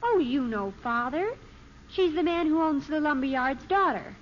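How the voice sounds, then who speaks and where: A woman's voice: high-pitched, animated, normal speed. A woman playing an earnest, chattering American teenage girl who wheedles her father in a 1950s radio sitcom. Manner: breezy, earnest, gushing. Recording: dull, hissy old radio recording.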